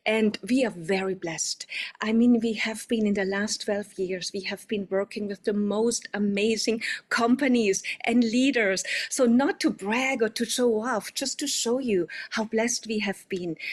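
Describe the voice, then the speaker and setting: A woman from Austria, hosting an online coaching event in an upbeat, welcoming way with some fillers and restarts, over a video call microphone. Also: on the high side. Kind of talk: welcoming presentation to an online audience.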